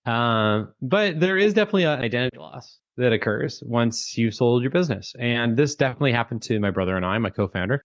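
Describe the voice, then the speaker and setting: A man's voice: mid-range voice, lively intonation, fairly quick, hardly any pauses, no room sound. A Canadian man in his thirties, a startup founder talking casually as an interview guest, chatty with lots of fillers and restarts. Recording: dry, dull lo-fi call audio.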